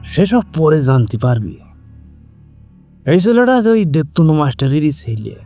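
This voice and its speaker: A man acting a slow, brooding tantric villain. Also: on the high side, sing-song, dark tone, faint music behind. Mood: boastful menace. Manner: slow, brooding, coarse-tongued. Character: menacing male tantric villain, arrogant and coarse, recounting his deeds. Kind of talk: dramatic audio story acting.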